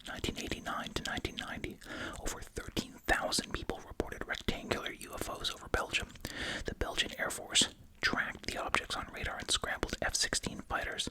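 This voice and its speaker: An ASMR creator whispering breathily while chewing gum, reading out summaries and adding casual, musing asides. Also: rough recording. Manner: casual, musing, repeats short phrases.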